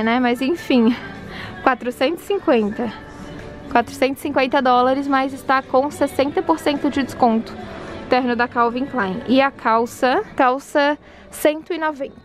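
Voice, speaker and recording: A woman with a fairly high voice talks casually to her viewers while she films her way through a big department store. She reads out prices and discounts and adds quick opinions as she goes. Faint store music plays behind her. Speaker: shopping vlogger. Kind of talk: casual walk-through vlog. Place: large department store, indoors.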